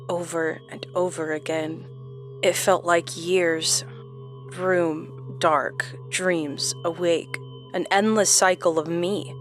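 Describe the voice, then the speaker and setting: An actress playing a haunted, trapped woman who gives a measured, brooding monologue in a horror audio drama. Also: fairly low pitch. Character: haunted woman trapped in endless relived lives.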